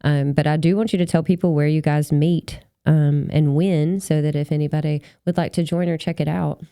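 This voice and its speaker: Low-voiced woman, casual and effusive. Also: fairly even intonation, normal speed, dark tone, clean tone, no room sound, clean studio sound. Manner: effusive, informal. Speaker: podcast host. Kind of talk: casual podcast conversation.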